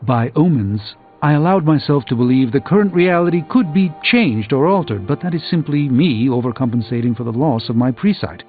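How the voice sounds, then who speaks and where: An actor playing a proud, weary middle-aged sorcerer in a video game, speaking in measured, erudite, dryly wry phrases. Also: male voice, mid-range voice, expressive, natural voice. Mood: reflective, humbled. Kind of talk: theatrical video game character dialogue. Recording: muffled game audio under music.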